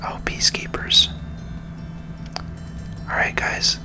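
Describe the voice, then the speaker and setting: Whispering ASMR gamer with music behind. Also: takes it slowly. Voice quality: breathy whisper. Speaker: video game player and host. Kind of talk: whispered ASMR game commentary. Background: video game music.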